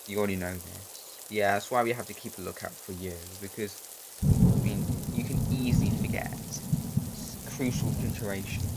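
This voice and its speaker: A man chatting casually as a storm chaser, in loose, rambling talk full of restarts, with rain noise behind him. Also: on the low side. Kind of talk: casual banter among friends.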